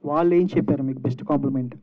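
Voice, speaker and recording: A man's voice: medium pitch, racing. A man putting questions as a reporter at a press event. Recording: thin, phone-like event audio.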